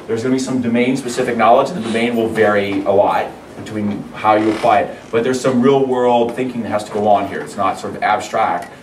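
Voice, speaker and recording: A middle-aged man with a cold and a hoarse voice, giving a rambling, conversational university lecture with many restarts. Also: lowish voice. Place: large lecture hall. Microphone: far room mic. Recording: echoey lecture hall from far off, noisy.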